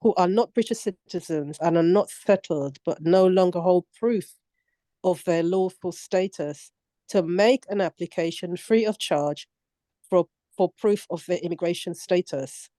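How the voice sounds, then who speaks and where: An older woman reading a policy document aloud on a video call, careful and measured, with some hesitations. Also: lowish voice, dark tone, dry recording. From Commonwealth country. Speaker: book club reader.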